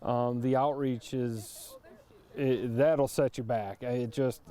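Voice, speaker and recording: A man's voice: mid-range voice, steady tone, slow pace, mellow. A man speaking unscripted as a local resident in a news interview.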